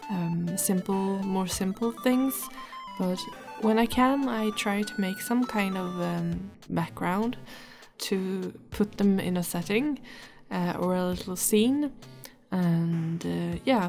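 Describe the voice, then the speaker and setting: A woman's voice: mid-range voice, a little mellow. A woman giving a casual, rambling voice-over about her drawing, speaking hesitantly as an illustrator hosting her own video.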